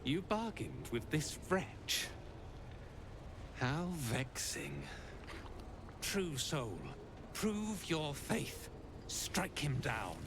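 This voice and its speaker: A cold, zealous cult commander in a video game, formal and haughty. He speaks very slowly, his pitch rising and falling with contempt, over a noisy hiss in the game audio. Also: big pitch swings, exaggerated voice.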